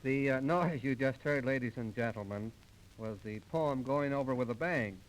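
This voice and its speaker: A man's voice: medium pitch, normal speed, rough recording. An American man doing 1930s radio comedy patter and mock recitation in a folksy, mock-formal showman's manner. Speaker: comedian in a singing comedy duo. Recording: old 1930s radio transcription disc, music behind.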